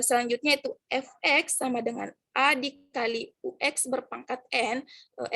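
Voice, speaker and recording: A woman's voice: sits high, fairly bright tone. A young woman student giving a class presentation, reading formulas and definitions from slides with many hesitations and fillers.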